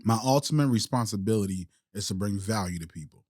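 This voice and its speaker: A man's voice: fairly low pitch, fast, darkish tone, no room sound, clean studio sound. Reflective man in casual talk. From Haiti. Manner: reflective, street slang, many restarts. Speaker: podcast guest, entrepreneur. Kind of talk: casual interview chat.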